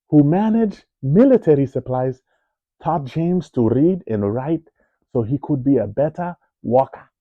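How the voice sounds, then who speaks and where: A man reading a children's biography aloud in a slow, clear storytelling manner. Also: fairly high pitch, no echo, narrow, tinny audio. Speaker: read-aloud narrator. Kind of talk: children's book read-aloud.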